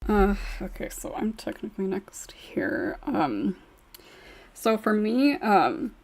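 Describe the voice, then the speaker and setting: A woman's voice: mid-range voice, sing-song, takes it slowly, gravelly, darkish tone. A woman hosting a casual panel discussion, speaking in a hesitant way and often circling back over her words. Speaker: podcast host.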